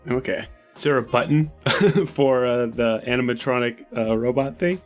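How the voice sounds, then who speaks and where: Male tabletop gamer, medium pitch, casual role-play chat with faint music underneath. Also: normal speed. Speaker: player in a tabletop game.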